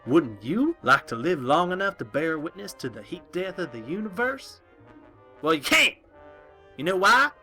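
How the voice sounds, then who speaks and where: A man's voice: sits high, big pitch swings, normal speed, sharp tone, soft background music, heavily acted. A man playing an eccentric, pompous town scientist giving a mock lecture in a comic audio drama, theatrical and prone to indignation. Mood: indignant ranting.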